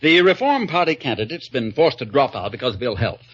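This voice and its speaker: An actor playing an oily, blustering party politician with an unctuous, pompous delivery in an old-time radio comedy. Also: male voice, mid-range voice, very animated, fairly quick, fairly bright tone, a little hiss or hum. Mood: pompous, smooth-talking. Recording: muffled vintage radio recording.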